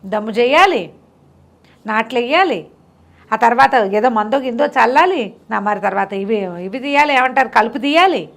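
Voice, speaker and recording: A woman storyteller with a fairly high voice and a noticeable creak. She tells bedtime folk tales in a chatty, conversational way, spelling out details as she goes. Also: lively intonation, normal speed, fairly bright tone. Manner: chatty, explanatory, listing details with repetition.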